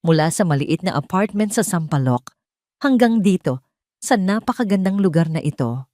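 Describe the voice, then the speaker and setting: Low-pitched Filipino woman narrator, dry sound. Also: lively intonation, normal speed, mellow, no echo. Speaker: narrator and host of an audio story channel. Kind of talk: scripted dramatic story narration in a sentimental register.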